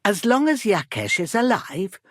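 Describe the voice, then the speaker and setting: A woman's voice: mid-range voice, sing-song, a little slow, fairly bright tone, dry recording, studio quality. A middle-aged woman playing a weary, embittered medieval midwife and protective mother in a video game, speaking earnestly and with emotion. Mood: defiant, weary. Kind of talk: dramatic game dialogue acting.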